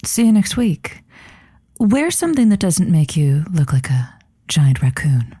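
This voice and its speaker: A woman's voice: sits low, very animated, measured pace, mellow, breathy edge, no room sound, studio quality, full character voice. A woman playing a bluffing, flirtatious, self-assured new radio host in an audio comedy drama, with a playful, teasing manner. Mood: flirty, firm.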